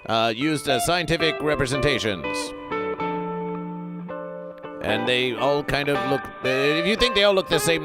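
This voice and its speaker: A man improvising a comedy sketch as a self-assured male fish doctor, talking in halting runs full of fillers and restarts. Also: mid-range voice, close to an everyday voice. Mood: lecturing. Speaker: comedy performer.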